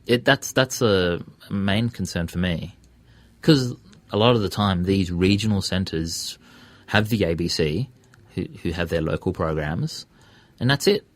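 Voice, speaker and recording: Low-voiced Australian man, dry close sound. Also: no room sound. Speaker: interview guest, community radio presenter and podcaster. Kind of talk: casual conversational interview.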